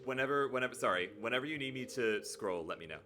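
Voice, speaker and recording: A man hosting a live stream, chatting playfully with sudden excited jumps in his voice. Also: mid-range voice, fast talker, faint music behind. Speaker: livestream host. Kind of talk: casual live stream chat.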